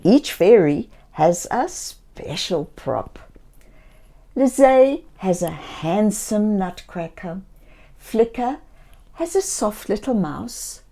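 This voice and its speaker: A woman reading a children's story aloud in a gentle, theatrical way, speaking directly to young listeners. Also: mid-range voice, expressive, slow. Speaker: children's story reader and host.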